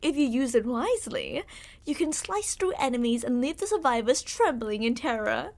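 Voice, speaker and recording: High-voiced, smug yandere royal captor played by a woman. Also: very animated, moderate pace, creaky, full character voice. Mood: coolly menacing. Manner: condescending, theatrical, mock-affectionate. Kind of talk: ASMR roleplay acting.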